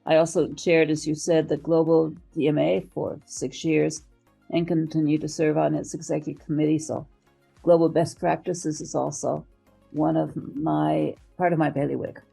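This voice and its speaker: An older woman with an American accent, answering interview questions in an articulate, measured way, pausing now and then to find words. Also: low voice, little pitch movement, vocal fry, warm tone, husky, soft background music. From United States. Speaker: interview guest, marketing professional and professor. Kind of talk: interview answers, career account.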